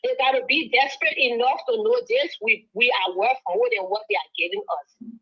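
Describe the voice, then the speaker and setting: A woman's voice: very animated, fairly quick, breathy edge. A Liberian woman calling in to a talk show, arguing politics with rising passion. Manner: impassioned, rising pitch when pressing points. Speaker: caller. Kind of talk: call-in political commentary. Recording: phone line audio.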